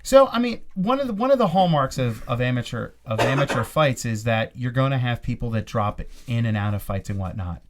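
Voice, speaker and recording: A man's voice: medium pitch, animated, brisk, few pauses. Rambling man asking questions. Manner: rambling questions with many restarts. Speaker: podcast co-host and fight commentator. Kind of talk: casual interview.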